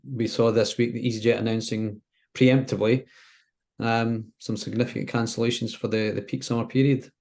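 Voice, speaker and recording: A man co-hosting a casual expert discussion, speaking in a tentative, measured way. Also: mid-range voice, little pitch movement, vocal fry, a little mellow. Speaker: aviation expert co-host.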